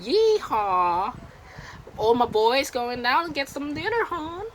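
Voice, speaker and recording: A man putting on an exaggerated American Southern accent, playing a folksy farm man in a high, loud drawl over a noisy home recording. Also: big pitch swings, slow pace, heavily acted. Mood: hamming it up.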